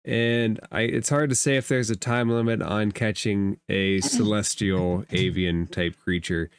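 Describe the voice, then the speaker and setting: A man narrating a tabletop role-playing game as game master, with a measured, halting delivery. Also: fairly low pitch, fairly even intonation, slight background noise.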